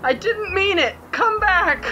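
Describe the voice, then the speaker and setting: A woman's voice: very high-pitched, lively intonation, takes it slowly, bright, forceful tone, muffled recording, a little hiss or hum, exaggerated voice. A woman voicing an earnest, defensive young pony magician sibling in a cartoon comic dub. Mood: regretful.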